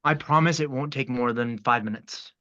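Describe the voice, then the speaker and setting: A polite but sinister ritual killer from a horror script played by a man. His mid-pitched voice is measured and formal, calmly threatening, on a dry, slightly muffled lo-fi recording. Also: no room sound, close to an everyday voice. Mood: politely sly. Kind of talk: horror script table read.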